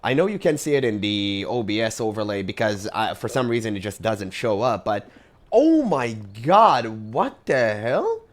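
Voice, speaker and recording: A man's voice: on the low side, very animated, normal speed. A man hosting a casual gaming commentary, reacting aloud in informal language, his voice recovering from a cold. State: recovering from a cold, voice recently lost. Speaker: video game content creator, host.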